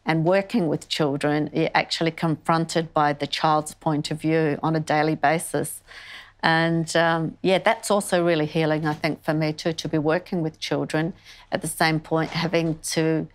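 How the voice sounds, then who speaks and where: Older woman, low even voice. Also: normal speed. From born in northern Greece, moved to Australia as a child. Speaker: artist being interviewed. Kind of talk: reflective conversational interview. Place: indoor wood-panelled art studio. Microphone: lapel mic.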